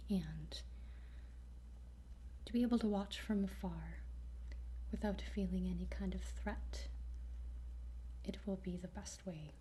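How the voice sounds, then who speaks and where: A woman speaking in a soft, breathy whisper as a guide in an ASMR roleplay, hesitant, with pauses between short phrases. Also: mid-range voice, a little hiss or hum. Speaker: ASMR roleplayer playing a dragon training guide.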